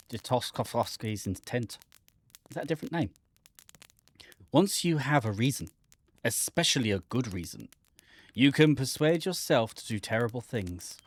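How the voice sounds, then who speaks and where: A man's voice: mid-range voice, no room sound. A man reading a game script aloud in a theatrical, playful manner, slipping in dry asides. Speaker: gaming channel host.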